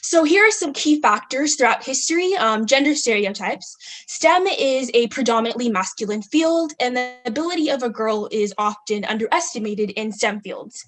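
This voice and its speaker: A woman's voice: a little high, lively intonation, moderate pace, a bit bright, poor audio. A young woman from California giving a student group presentation, reading from slides with frequent ums. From California, United States.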